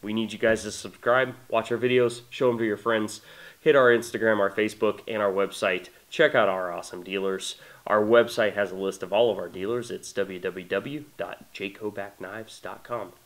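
A man's voice: on the low side, normal speed. A man in his thirties with a congested voice from a cold, casually and enthusiastically talking to camera about a knife he made, filled with "uh" and "you know", in a small indoor room. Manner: relaxed, enthusiastic, many fillers. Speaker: knife maker presenting his knife. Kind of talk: casual product talk to camera.

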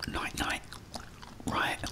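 A man's voice: very slow, bright, forceful tone. A middle-aged man with an English accent whispering ASMR commentary as he plays a game, muttering reactions and talking to the characters. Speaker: gaming ASMR host.

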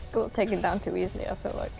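A woman's voice: medium pitch, gravelly, darkish tone. A woman chatting casually as she plays a game with friends. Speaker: gamer playing alongside friends. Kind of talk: casual gaming chat.

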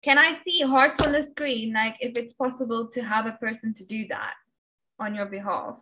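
Young woman host, really high voice, playful and chatty, slightly muffled video call sound. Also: moderate pace, pushed and bright. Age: young adult. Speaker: show host. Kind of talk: casual, joking panel chat. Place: small home room, video call. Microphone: desk microphone with pop filter. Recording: dull video-call audio.

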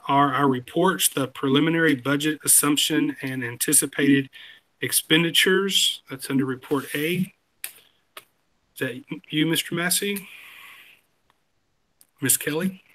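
A middle-aged man chairing a formal board meeting over a video call, measured and procedural with small hesitations. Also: a little high, fairly even intonation, pushed and bright, poor audio. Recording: video call with faint background noise.

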